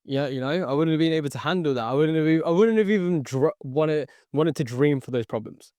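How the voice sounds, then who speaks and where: A man's voice: a little high, fairly quick, a little mellow, dry recording. A young man whose first language was Tagalog, telling his life story as a podcast guest in an earnest way, repeating phrases and saying "okay" often. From born near London, raised in the Philippines. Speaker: guest, sales entrepreneur. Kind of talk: podcast interview.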